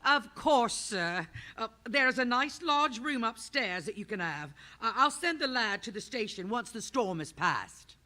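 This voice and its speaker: A woman's voice: on the high side, animated, measured pace, few pauses, sharp tone. A woman playing a kindly, flustered landlady in a radio drama, hesitant, fussy and deferential. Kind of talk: theatrical radio drama acting.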